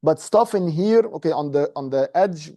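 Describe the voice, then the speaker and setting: A male university lecturer with an Egyptian accent explains math informally to a class. His voice is slightly high for a man yet soft-edged and mellow, recorded dry with little room sound. He often pauses to check that listeners follow. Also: expressive, dry recording. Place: medium-sized indoor classroom, standing at a presentation screen.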